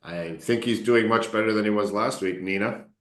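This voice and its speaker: A man coaching English students in a casual online class, relaxed and encouraging, often joking with them. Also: fairly low pitch, moderate pace, reverberant. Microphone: video call.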